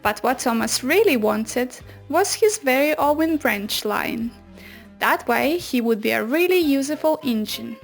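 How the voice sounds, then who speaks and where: Woman, high voice, slow pace, children's storybook narration, soft music behind. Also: animated, vocal fry. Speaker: children's book reader.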